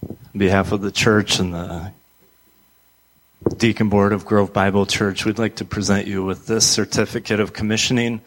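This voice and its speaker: Male church officiant, fairly low voice, ceremony announcements and presentations. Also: little pitch movement, normal speed, poor audio. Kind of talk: informal ceremony announcements and formal reading.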